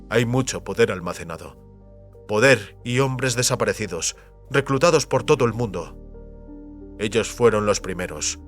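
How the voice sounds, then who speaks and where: A man plays the solemn patriarch of a secret family company in an audio drama. He is idealistic and speaks in grave, deliberate speeches at a medium male pitch. Soft music plays behind him. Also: natural voice.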